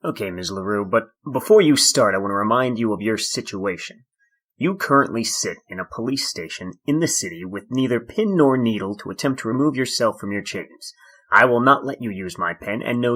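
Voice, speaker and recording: An actor playing a weary, dry-witted male detective in an audio drama, speaking in a stern, deadpan way. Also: mid-range voice, breathy edge.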